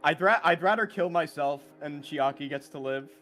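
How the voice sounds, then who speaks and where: A man's voice: on the high side, moderate pace, fairly bright tone. A man chatting casually as a co-commentator on a game stream. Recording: faint game music behind.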